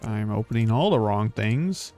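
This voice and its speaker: A man's voice: on the low side, animated, moderate pace, darkish tone. Casual male streamer with music behind. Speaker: game streamer. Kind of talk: casual livestream chat.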